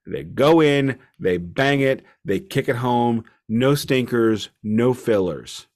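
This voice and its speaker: Male podcast co-host for casual review chat; emphatic and opinionated, with a medium-pitched voice and a touch of mock formality. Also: takes it slowly, a little mellow.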